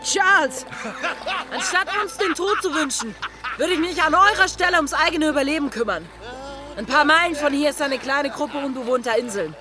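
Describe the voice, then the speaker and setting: Female pirate, high voice, cool, defiant, taunting, radio play, music behind. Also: animated, normal speed. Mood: mocking. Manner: composed, taunting.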